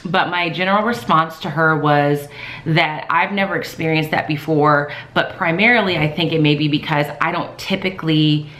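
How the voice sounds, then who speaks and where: A woman in her thirties, a teacher, chatting casually in a calm, soft-spoken way with many fillers. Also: deep voice, normal speed. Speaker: teacher vlogger. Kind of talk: casual vlog talk. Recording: echoey room.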